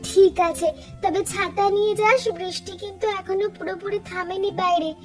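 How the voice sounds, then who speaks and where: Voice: very high-pitched, steady tone, brisk, over loud music. An actor voicing a chatty, teasing young village girl in a cartoon story. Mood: teasing, bossy. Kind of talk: Bengali cartoon story dialogue.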